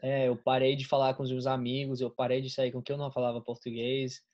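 Quick Spanish-native young man, dull audio. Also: medium pitch, monotone, a little mellow. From Colombia. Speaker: guest. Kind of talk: casual chat, recalling memories.

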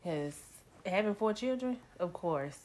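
A woman in her thirties co-hosting a casual talk show, candid and reflective, with a slightly raspy voice today. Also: on the low side, expressive, unhurried, a little mellow. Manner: candid and reflective, many fillers. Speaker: podcast co-host. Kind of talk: casual chat.

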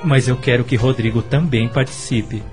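An actor with a Brazilian accent playing a kind, devout middle-aged husband, tender and measured, in a radio soap opera. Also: male voice, mid-range voice, fairly even intonation, lightly acted. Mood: warm, calm. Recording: music under the voice.

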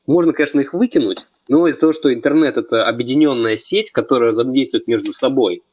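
A man's voice: medium pitch, fast, a little mellow, breathy edge, highs cut off. A man of twenty on a casual podcast, explaining things step by step. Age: 20s. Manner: explanatory, lays out points step by step. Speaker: podcast co-host.

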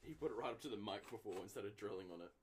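A man's voice: on the low side, lively intonation, racing, a little hiss or hum. A young man chatting on a podcast with friends, swearing freely and steering the conversation. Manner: swears a lot, bossy steering of talk. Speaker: podcast co-host. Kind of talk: casual chat with friends.

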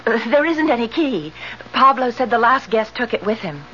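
A woman's voice: on the high side, big pitch swings, moderate pace, fairly bright tone, highs cut off, faint music behind. A woman playing an affectionate young sweetheart in radio drama dialogue. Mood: casual, evasive. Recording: band-limited 1970s radio broadcast, faint noise.

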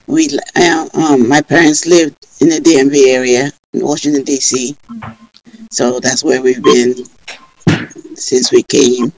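A middle-aged woman with a foreign accent, recalling her life in a halting, conversational way as a guest on a video call. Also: rumbling low, fairly even intonation, darkish tone, poor audio. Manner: halting, repeats words. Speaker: podcast guest. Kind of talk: conversational personal recollection.

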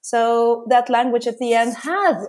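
A woman with a Russian accent talks as a podcast guest, her voice sitting a bit high. She tells stories in a lively, casual way, dotted with fillers. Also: a little slow. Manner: lively storytelling with frequent fillers. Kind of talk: casual interview conversation.

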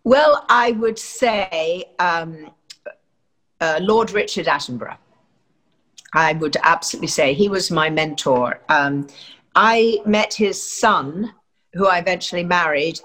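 A woman's voice: fairly low pitch, a little slow, fairly bright tone. An older woman from England, an actress, giving chatty, rambling interview answers full of fillers and restarts.

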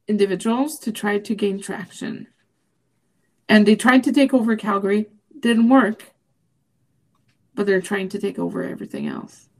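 A woman from Quebec whose first language is French, co-hosting a political talk podcast and speaking emphatically, her voice rising into high, outraged questions. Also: darkish tone, poor audio. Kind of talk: impassioned political chat.

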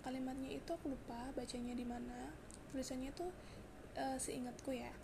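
A hoarse young woman hosting a casual solo podcast, chatty and confiding, with frequent fillers and restarts. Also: high-pitched, flat delivery, fairly quick, noisy background. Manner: chatty, confiding, mixes in English words, many fillers and restarts. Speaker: podcast host.

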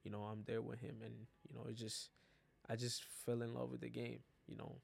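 A man from Ghana, a soccer player, talking as a guest in a casual interview with a relaxed, laid-back manner and frequent fillers. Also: medium pitch, a little fast, creaky, slightly rough, soft background music.

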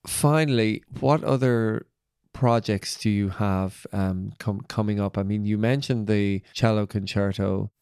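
Guy hosting an interview, smooth lowish voice, kinda halting as he rephrases stuff. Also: steady tone, darkish tone, dry recording, clean studio sound. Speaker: interviewer, podcast host. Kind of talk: conversational interview.

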